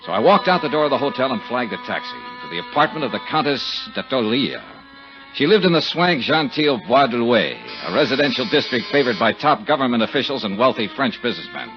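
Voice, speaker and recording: An actor playing a cynical, hard-boiled American private investigator in 1950s radio drama style, with a sardonic, slangy, wisecracking manner. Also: male voice, medium pitch, big pitch swings, fairly quick, music in the background, natural voice. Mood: cool narrating. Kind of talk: 1950s radio detective drama acting and narration. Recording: muffled, hissy 1950s radio recording.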